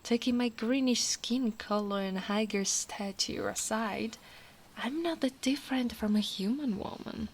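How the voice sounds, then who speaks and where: An Italian woman playing a tall, devoted female orc royal guard in an ASMR roleplay, speaking softly and intimately as if to the one listener she loves. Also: on the high side, slight background noise. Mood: soft, confiding. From Italy. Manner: slow, intimate, often dropping to a whisper.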